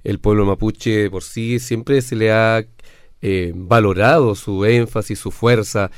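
A man's voice: fairly low pitch, steady tone, slow, smooth, no room sound, clean studio sound. A man asking long, searching questions with fillers in a panel discussion.

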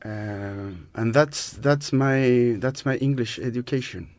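Man, thoughtful and unhurried, dry close-miked read. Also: medium pitch, darkish tone, dry recording. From Chile. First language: Spanish. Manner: thoughtful, searching for words in a second language. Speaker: guest. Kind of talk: casual conversational interview.

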